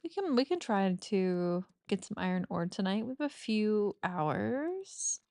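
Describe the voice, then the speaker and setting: A woman's voice: medium pitch, big pitch swings, a little slow, a little mellow, dry recording, clean studio sound. A woman chatting casually while playing a cozy farming game, giggly and self-mocking, with a slightly stuffy nose. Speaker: gaming streamer. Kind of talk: casual let's-play commentary.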